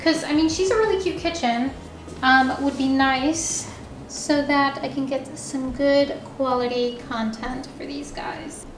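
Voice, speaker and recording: A young woman chatting casually through a home vlog about her ceramics, full of "like" fillers, a little hoarse as she loses her voice. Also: sits high, fairly even intonation, a little slow, reverberant, slight background noise. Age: young adult. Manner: chatty, many "like" fillers, upbeat. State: losing her voice. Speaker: ceramics small business owner vlogging. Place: home studio office and dining room, indoors. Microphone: camera mounted on window, handheld at times.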